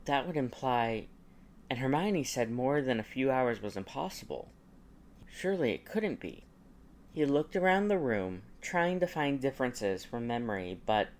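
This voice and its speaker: Male narrator for fan fiction audiobook, medium pitch, story-reading delivery.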